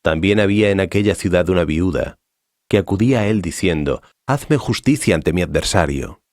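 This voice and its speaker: An actor playing a solemn, authoritative male teacher in a dramatized scripture reading, speaking in a measured, didactic way. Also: sits low, expressive, darkish tone, no echo, clean studio sound. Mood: solemn teaching.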